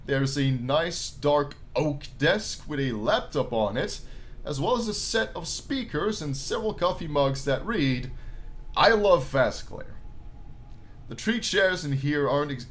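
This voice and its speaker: A man playing a game on his channel, reading the story aloud as animated narration and dropping in casual, jokey asides. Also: on the high side, slight background noise. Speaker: gaming YouTuber reading a visual novel aloud. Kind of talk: let's play commentary mixed with dramatic read-aloud narration.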